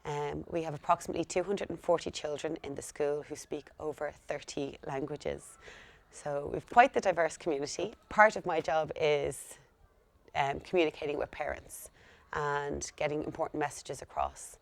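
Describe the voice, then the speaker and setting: Low-voiced woman explaining her school work. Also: fairly even intonation, normal speed, creaky. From Ireland. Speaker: school home-school liaison coordinator. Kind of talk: speaking to camera about her work.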